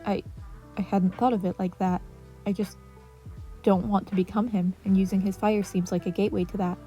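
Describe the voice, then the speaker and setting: A woman voicing a reserved, wounded teenage boy in a halting, subdued manner for a story. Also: medium pitch, steady tone, mellow, natural voice. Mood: hesitant, hurt. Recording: music playing under the voice.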